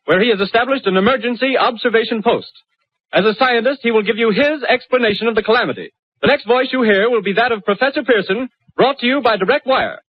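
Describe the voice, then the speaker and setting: An actor playing a studio announcer reading urgent news bulletins in a 1930s radio drama. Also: male voice, high voice, dry recording. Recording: tinny 1938 radio broadcast.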